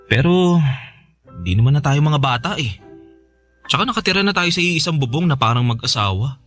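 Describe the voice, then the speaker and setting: Animated, devoted young Filipino boyfriend. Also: male voice, medium pitch, brisk. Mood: eager, playful. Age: young adult. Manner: sweet, joking, expressive. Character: devoted, playful young boyfriend eager to marry. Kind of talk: Philippine radio drama acting, naturalistic. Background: music.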